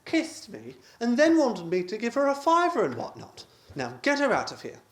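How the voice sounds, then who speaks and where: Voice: medium pitch, huge pitch swings, normal speed. A performer plays a harried married man in a radio farce. The delivery swings up and down a great deal, blustering and stammering with exasperation. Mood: urgent. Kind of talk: stage farce radio play acting.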